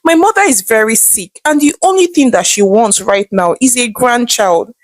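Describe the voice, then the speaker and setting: A woman's voice: a little high, animated, normal speed, no echo. A woman voicing an apologetic Nigerian husband in a told story, earnest and pleading.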